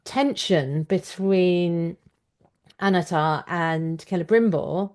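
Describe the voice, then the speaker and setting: A woman's voice: lowish voice, slow pace, a little mellow. A woman hosting an interview podcast, talking conversationally and explaining her critical points in an analytical way. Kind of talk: conversational critical discussion of storytelling.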